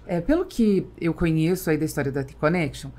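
A middle-aged Brazilian woman hosts a conversational podcast interview. Her voice sits a little low for a woman. She speaks into a close studio microphone in a small treated room. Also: expressive, fairly quick, dark tone. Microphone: close boom-arm studio microphone.

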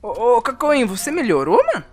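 A man voicing an excitable, gluttonous, none-too-bright sidekick in a comic anime parody, blunt and slangy, with a Brazilian accent. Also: very high-pitched, a little fast, soft background music, heavily acted. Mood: puzzled, eager.

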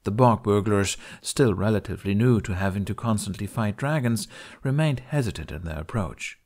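A man narrates a fiction audiobook in a fairly low voice. He reads the scripted prose expressively, as a storyteller. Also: expressive, moderate pace, a little mellow.

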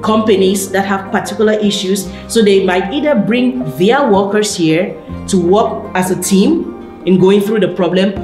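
Woman giving a relaxed tour. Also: mid-range voice. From Cameroon. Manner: relaxed, explanatory, fillers like "like". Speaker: PhD student giving a campus tour. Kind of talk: casual guided tour talk. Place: indoor university lab rooms and corridors. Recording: echoey indoor rooms. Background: background music.

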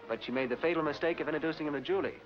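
A man's voice: on the high side, very fast, lightly acted. A man playing a nosy, clipped party guest in 1940s film comedy style. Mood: curious. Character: nosy, fast-talking male party guest. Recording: muffled 1940s film soundtrack with music.

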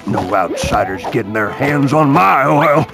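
Grumbling greedy villain sheriff. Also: male voice, medium pitch, animated, normal speed, gravelly, music in the background. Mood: bitter. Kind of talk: video game cartoon acting.

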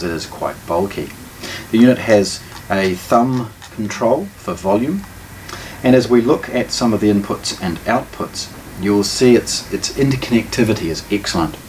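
A man's voice: on the low side, a little mellow. A middle-aged man, giving an unscripted product unboxing commentary as a presenter. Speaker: shop presenter, product reviewer. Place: small indoor listening room with shelves. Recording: distant camera mic, background noise, clicks.

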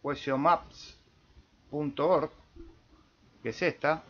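Unhurried Argentine man, slightly dull recording. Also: on the high side, fairly even intonation. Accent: Argentine Spanish. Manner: thinking aloud while demonstrating, checking in with "¿eh?". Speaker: amateur radio video tutorial host. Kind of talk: informal screen-share software tutorial.

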